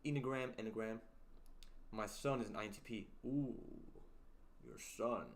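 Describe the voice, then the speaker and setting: A young man hosting a casual livestream, reading chat aloud and joking around, with a deep voice. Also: moderate pace, slight background noise. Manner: playful, jokey, sings snippets and reads chat aloud. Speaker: livestream host.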